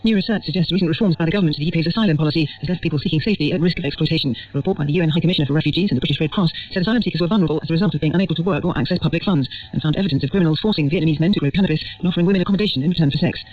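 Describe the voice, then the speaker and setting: A man's voice: fairly high pitch, rapid-fire, few pauses. A man reading a scripted news bulletin as a newsreader, heard over the radio. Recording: distant, muffled shortwave radio broadcast.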